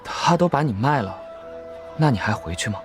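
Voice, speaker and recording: Wary young cultivator leader, male actor, audio drama. Lively intonation, faint music behind. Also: mid-range voice, moderate pace, close to an everyday voice. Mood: gentle, questioning. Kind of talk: Chinese audio drama acting.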